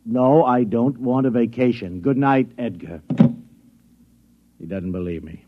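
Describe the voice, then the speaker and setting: An actor playing a flustered security chief who stammers and repeats himself, in a 1950s radio drama. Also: male voice, mid-range voice, unhurried, lightly acted. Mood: pleading. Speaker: security chief at an atomic laboratory. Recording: dull old radio recording.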